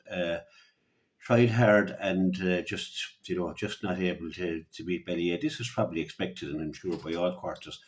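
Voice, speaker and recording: An Irish man giving unscripted sports commentary and opinion on local radio, halting and repeating words as he gathers his thoughts. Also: low voice, a little mellow. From Ireland.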